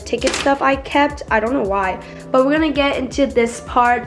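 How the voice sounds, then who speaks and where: A teenage girl vlogger giving a casual, bubbly room tour, her voice still a bit raspy, with lots of "like" and "literally". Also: on the high side. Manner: bubbly, chatty, says "like" and "literally" a lot. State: slightly raspy voice.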